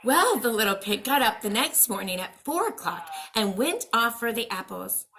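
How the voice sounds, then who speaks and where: Lady doing storytime for kids, high bright voice, takes her time, really dramatic. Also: very animated, moderate pace, slight background noise. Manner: dramatic, asks the listeners questions. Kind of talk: expressive children's storybook read-aloud.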